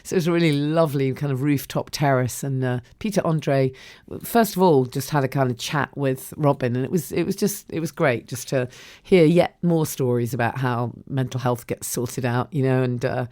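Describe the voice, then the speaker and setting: A woman hosting a podcast in a croaky voice, chatting casually and rambling with many ums and restarts. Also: extremely low pitch, normal speed, warm tone, no room sound, studio quality. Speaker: podcast host. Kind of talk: casual solo podcast chat.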